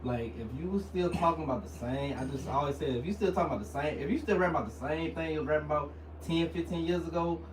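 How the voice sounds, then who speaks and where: A man in his thirties, co-hosting a casual podcast chat, telling stories with lively, laughing energy. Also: fairly high pitch, fast talker. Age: 30s. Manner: animated, laughing storyteller. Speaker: podcast co-host. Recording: roomy sound, distant mic, faint noise.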